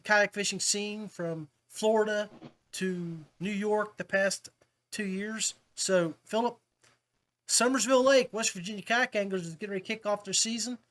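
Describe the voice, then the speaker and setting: A man's voice: sits high, a bit bright. A middle-aged man hosting a podcast interview in a chummy, folksy, appreciative way, chatting casually with his guests. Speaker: podcast host.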